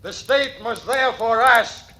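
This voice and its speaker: High, forceful radio prosecutor. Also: male voice, a little slow, exaggerated voice. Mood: stern, accusing. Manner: declamatory, oratorical. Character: stern, forceful prosecuting attorney. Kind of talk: 1940s radio drama acting. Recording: noisy old radio recording.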